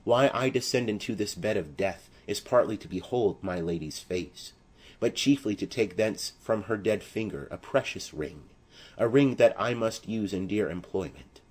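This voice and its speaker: A man playing a desperate, savage young exiled lover in a tragedy audiobook, declaiming gravely and with menace. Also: lowish voice, moderate pace. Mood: urgent, threatening. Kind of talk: classical tragedy audiobook acting.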